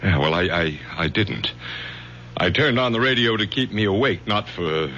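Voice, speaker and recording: A stammering, guilt-ridden professor, his words tripping over themselves. His voice is very deep yet bright. It sounds dull and lo-fi, like an old radio broadcast. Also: expressive, moderate pace, slight background noise. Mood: nervous. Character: nervous, guilt-ridden middle-aged male professor. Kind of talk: 1970s radio drama acting.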